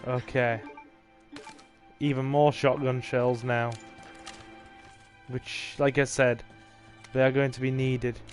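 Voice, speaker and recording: A man's voice: medium pitch, flat delivery, slow, pauses often, faint music behind. A man with an English accent, casually commentating a horror game on a livestream and chatting to his viewers. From England. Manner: chatty and laid-back, talking to viewers while playing. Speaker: gaming streamer.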